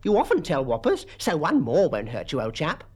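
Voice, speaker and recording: A man's voice: fairly high pitch, huge pitch swings, a little fast, breathy edge, heavily acted. A British man voicing a fat, dim schoolboy for a storybook, wheedling and blustering as he talks. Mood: wheedling, sly. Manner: wheedling, blustering, stammering when cornered. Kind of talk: character voice in a storybook reading.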